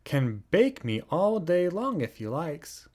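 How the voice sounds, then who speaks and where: A man's voice: on the high side, very animated, slow, a little mellow, exaggerated voice. A man voicing good-natured, emotional brothers from a children's storybook in a theatrical way. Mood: earnest resolve. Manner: theatrical, swooping.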